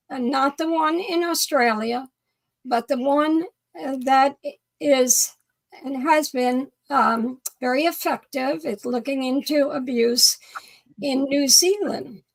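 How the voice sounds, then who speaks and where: An older woman with a croaky voice from allergies, speaking in a measured, thoughtful way over a video call from a home study. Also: high-pitched, poor audio. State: croaky voice from pollen. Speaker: co-host.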